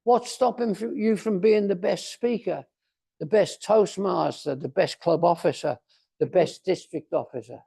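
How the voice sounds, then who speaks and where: A man of about eighty giving a motivational speech, speaking theatrically and emphatically, with deliberate pauses and repetition. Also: high-pitched, vocal fry, dark tone, slightly rough. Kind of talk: motivational speech to a club audience.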